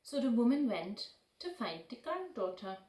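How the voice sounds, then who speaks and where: Female children's storyteller, gentle sing-song delivery, very animated pitch, recorded in a roomy space. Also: moderate pace. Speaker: puppet show storyteller. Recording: roomy echo, distant microphone.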